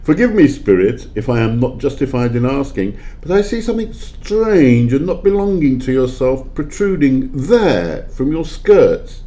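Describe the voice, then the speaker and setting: A man's voice: mid-range voice, very animated, a little slow, few pauses, warm tone. A man playing a proud old miser in an amateur audio play, with a formal, pompous and theatrical way of speaking. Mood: uneasy, fearful.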